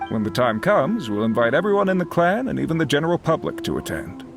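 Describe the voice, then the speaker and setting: A man's voice: on the high side, very animated, fast talker, creaky. An actor playing a courteous male retainer, with music behind.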